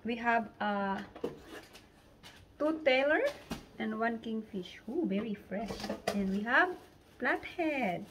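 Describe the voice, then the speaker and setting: A high-voiced woman with a Filipino accent talks to her phone camera in an unhurried, excitable way. She exclaims and laughs often. Clear background noise of wind and surf sits behind her voice. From Philippines. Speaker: vlogger. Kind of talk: casual vlog narration. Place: beach outdoors, later home kitchen.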